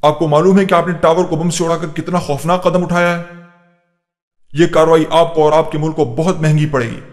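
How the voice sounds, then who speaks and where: Reverberant room; male actor as stern intelligence chief, formal menace, fairly high male pitch, radio-drama villain. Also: flat delivery, brisk, natural voice. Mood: stern, menacing. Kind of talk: Urdu audio drama acting. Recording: reverberant hall sound.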